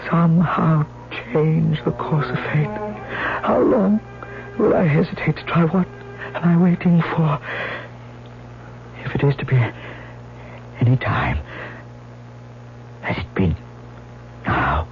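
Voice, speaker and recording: An actor playing a tormented, guilt-ridden old man in a radio drama, speaking gravely and theatrically. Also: a little high, very animated, slow, pauses often, full character voice. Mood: yearning resolve. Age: older. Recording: muffled old radio recording, music behind.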